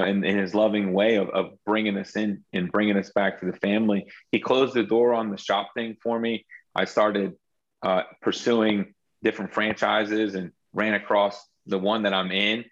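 A man's voice: on the low side, fairly bright tone, lo-fi sound. A man sharing his faith story as a guest in an interview, earnest and reflective, often restarting his sentences. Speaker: guest entrepreneur.

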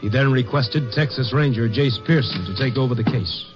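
A man of medium pitch reads formal, measured narration for an old radio drama. Music sits clearly behind him on a dull vintage recording. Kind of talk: scripted 1950s radio narration.

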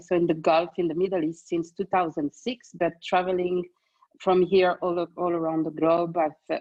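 A woman's voice: lowish voice, darkish tone, dry recording. Cheerful woman, lo-fi line. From France. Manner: cheerful, chatty, laughs easily. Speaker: panel guest. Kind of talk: casual chat. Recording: dull lo-fi dry line.

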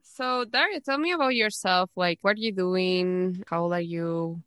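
Lower-voiced, smooth host from Uruguay, dry close sound, easygoing interviewer. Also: female voice, normal speed, fairly bright tone, no echo. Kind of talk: casual video-call interview.

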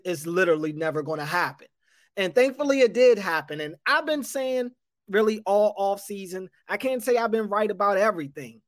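Measured man sports reporter. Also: high-pitched, no echo, clean studio sound. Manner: measured, stacks up points with restarts. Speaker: sports radio host and beat reporter. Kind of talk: casual sports analysis.

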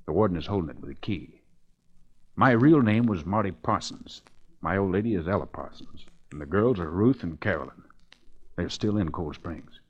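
A muffled 1940s radio play recording. a tough, world-weary convict with a low voice. He talks in rough street slang, pleading earnestly for his family as a condemned man. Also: animated, normal speed, darkish tone.

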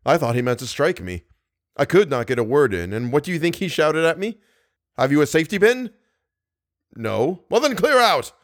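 A man's voice: a little high, very animated, normal speed, long pauses, voice pushed up. A man voicing a flustered male theatre secretary who reports in a startled rush.

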